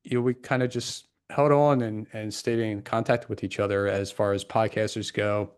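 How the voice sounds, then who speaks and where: A man's voice: lowish voice, little pitch movement, moderate pace, smooth. Rambling male podcast host. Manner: loose and rambling, many fillers. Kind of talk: casual podcast interview.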